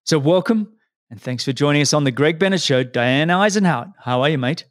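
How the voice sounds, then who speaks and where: A man's voice: on the high side, lively intonation, a little fast, no room sound, studio quality. A man hosting his podcast, chatting in a friendly, casual way and often repeating words as he stumbles. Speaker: podcast host. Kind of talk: casual interview chat.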